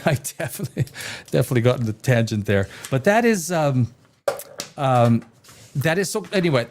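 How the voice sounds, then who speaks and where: A man's voice: mid-range voice, a little mellow. A man from the Czech Republic, hosting a solo livestream, rambling from one tangent to the next with stammered restarts and swearing. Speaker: livestream host.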